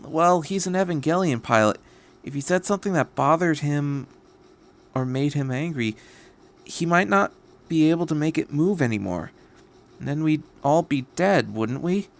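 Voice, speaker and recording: Guy doing a cautious mom character in a story, hushed, hesitant, plays by the rules. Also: on the high side, expressive, normal speed, gravelly, voice pushed up. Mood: earnest, worried. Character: hesitant, cautious mother, rule-abiding commuter.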